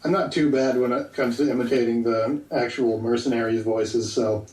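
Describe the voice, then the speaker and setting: Male voice actor as guest, relaxed and reflective, heard in a roomy space away from the mic. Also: mid-range voice. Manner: easygoing, thoughtful, pauses to think. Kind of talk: casual podcast interview. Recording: echoey room, distant from the mic.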